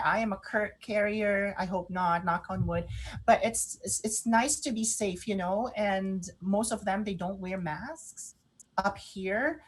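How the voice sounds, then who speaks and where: A woman's voice: medium pitch, low-quality recording. A woman from the Philippines with a Filipino accent, chatting casually as co-host of a live online show.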